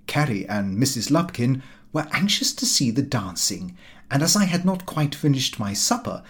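A man narrating a comic diary novel as an audiobook, in a formal, measured and precise storytelling manner. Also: mid-range voice.

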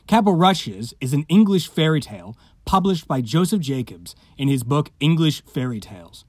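A man's voice: medium pitch, darkish tone, no room sound, studio quality. A man reading a scripted podcast introduction as host, with playful emphasis on words.

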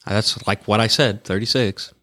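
A man's voice: fairly low pitch, fairly even intonation, moderate pace, sharp tone. A man in his twenties co-hosting a casual podcast, crude and swearing constantly as he teases his friends. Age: 20s. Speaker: podcast co-host.

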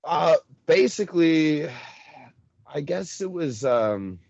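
A man's voice: fairly high pitch, lively intonation, takes it slowly, gravelly, a little mellow, no room sound. Guy in his 30s rambling about old wrestling, dry muffled mic. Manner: rambling, hesitant, many fillers. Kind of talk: casual wrestling chat.

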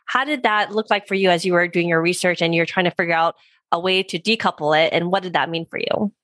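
A woman hosting a podcast, reading a scripted introduction and then asking interview questions in a friendly, measured way. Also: on the low side, fairly bright tone, dry recording. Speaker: podcast host. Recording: dry close sound with faint music.

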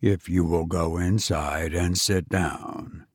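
A man voicing robot teachers that calmly and flatly insist people go inside and sit down. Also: sits low, slow, breathy edge, no room sound, studio quality, voice pushed down, exaggerated voice. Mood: insistent. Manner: flat, insistent, unbending. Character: cold calm robot teachers, sexless machines.

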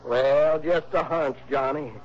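A man's voice: a little high, steady tone, slow pace. An actor playing a modest, folksy local man in a 1960s radio drama, musing in a hesitant, homespun way. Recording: dull old radio recording, faint noise.

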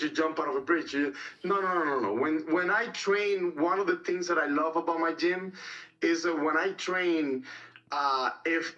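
A man's voice: fairly high pitch, bright, forceful tone. A man from Cuba, a mixed martial arts fighter telling his story in a podcast interview, blunt and rambling, repeating words and swearing freely. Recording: dull lo-fi sound, distant from mic.

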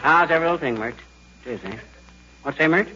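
An American actor playing a bumbling, blustering, folksy middle-aged husband in a 1940s radio comedy. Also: male voice, fairly high pitch, expressive, quick pace, natural voice. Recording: dull, noisy 1940s radio recording.

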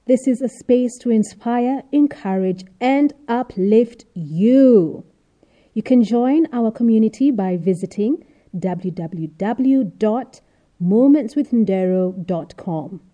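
A woman's voice: on the high side, takes it slowly, mellow, muffled recording. A woman hosting a podcast, reading introductions and putting questions to a guest. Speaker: podcast host. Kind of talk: scripted podcast introduction and interviewing.

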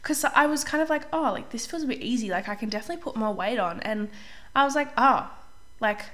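A young woman hosting a casual solo podcast as a life coach, chatty and upbeat, slightly hoarse while getting over a cold. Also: on the high side, animated, fast talker, a bit bright. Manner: chatty, upbeat, says "like" often, clears throat.

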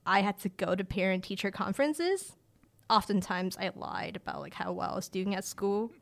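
A woman's voice: medium pitch, normal speed, creaky. Chatty woman in her late twenties. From rural China. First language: a Chinese village language. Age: late 20s. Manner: relaxed and chatty, with frequent "like" fillers and restarts. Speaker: guest, director of family empowerment. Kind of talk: casual interview conversation.

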